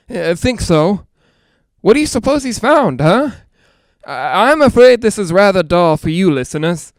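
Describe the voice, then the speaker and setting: Male, clear high voice; actor playing a jittery, lonely haunted-house broadcaster, rambling, halting, old-time radio drama. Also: no echo, clean studio sound. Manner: nervous, rambling, halting, self-reassuring. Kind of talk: 1940s-style radio drama monologue.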